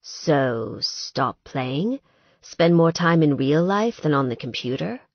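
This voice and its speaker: Romance audiobook hero: woman as a blunt, possessive man, pitched low, measured delivery, dry sound. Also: expressive, slightly rough, no room sound, lo-fi sound, heavily acted. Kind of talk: audiobook character dialogue.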